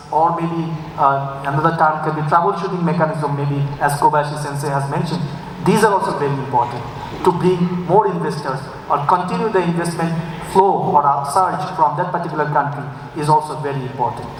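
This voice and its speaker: A man with a Bangladeshi accent gives a conference presentation as an official, in a halting way with frequent fillers. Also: a little high. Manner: hesitant, halting, with frequent fillers and repeated phrases. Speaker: government official presenting at a summit. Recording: very echoey hall, distant mic, faint noise.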